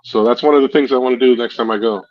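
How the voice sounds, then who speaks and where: A man with a Guyanese accent talks casually on a livestream, wandering through stories and breaking into laughter. His voice sits at a middle pitch, and the audio is dull and lo-fi. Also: fairly even intonation, very fast, a crowd in the background. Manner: laughs often, rambling storytelling. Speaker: streamer. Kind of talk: casual chat.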